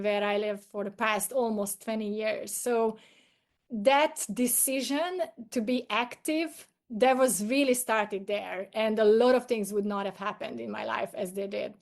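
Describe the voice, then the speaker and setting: A middle-aged woman with a Hungarian accent, a native Hungarian speaker, telling personal stories conversationally as an interview guest. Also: fairly high pitch. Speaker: podcast guest, coach.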